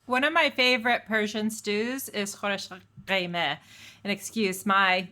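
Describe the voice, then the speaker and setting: A woman with a Midwestern American accent co-hosting a cooking podcast, speaking with warm, self-deprecating enthusiasm. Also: medium pitch, fairly even intonation, a little slow. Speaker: podcast co-host. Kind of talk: casual chat.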